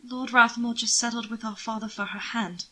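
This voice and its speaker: A woman's voice: fairly high pitch, fairly even intonation, moderate pace, voice pushed up. A woman plays a frightened young man in an audiobook. He is timid and submissive, speaking with hesitation as if begging for mercy. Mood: fearful, subdued. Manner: hesitant, quiet, pleading. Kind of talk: audiobook character voice.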